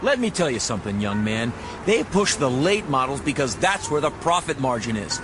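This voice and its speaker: An actor playing a fast-talking, folksy and slippery used-car salesman in a radio drama, with wordy hard-sell patter. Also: medium pitch, big pitch swings, music in the background, natural voice. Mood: indignant, scornful.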